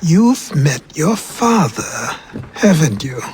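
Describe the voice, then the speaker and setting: An actor playing a weary, wise old mentor with a husky voice and a grave, probing manner in a game cutscene. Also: male voice, fairly high pitch, big pitch swings, slow pace, darkish tone, a little hiss or hum. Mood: sceptical. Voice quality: husky, rasping. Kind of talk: video game cutscene acting.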